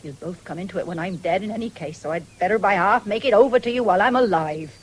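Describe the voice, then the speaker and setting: An actress playing a stern, practical middle-aged farm mother with an English accent, brisk and sharp-tongued, in a radio drama. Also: mid-range voice, breathy edge, close to an everyday voice. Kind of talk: 1970s British radio drama acting. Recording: faintly noisy vintage radio broadcast.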